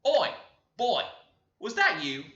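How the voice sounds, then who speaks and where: A tyrannical young master of the house who barks loud orders, as a male narrator puts him on. His voice sits higher and louder than the reader's own. The recording is roomy and muffled. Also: wildly swooping pitch, slow, exaggerated voice. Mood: domineering. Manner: loud and bossy.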